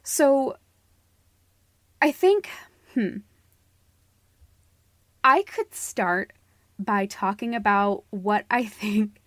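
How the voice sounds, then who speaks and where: A woman's voice: mid-range voice, dry recording. A woman co-hosting a podcast in a casual, informal chat, effusive and given to giggling. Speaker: podcast co-host. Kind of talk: casual discussion of books and theatre.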